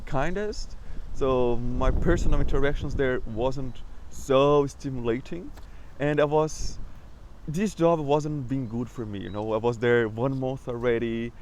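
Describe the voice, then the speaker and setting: A man's voice: medium pitch, darkish tone, slight background noise, rough recording. A young man with a Brazilian accent, talking casually in a personal vlog and stuttering with repetitions and restarts. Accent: Brazilian Portuguese. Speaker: vlogger.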